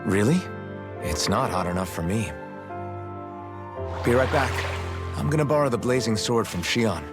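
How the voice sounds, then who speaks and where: A man's voice: mid-range voice, animated, normal speed, over loud music, close to an everyday voice. A man voicing an easygoing, earnest young swordsman hero in a video game, casual in manner. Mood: relaxed, content. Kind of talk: video game cutscene acting.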